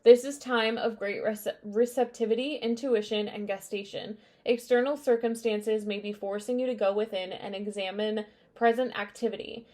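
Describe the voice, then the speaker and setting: A woman's voice: a little high, fairly even intonation, moderate pace, few pauses, dark tone. A young woman giving a casual tarot card reading, chatty and meandering, with many fillers and asides. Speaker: tarot reader.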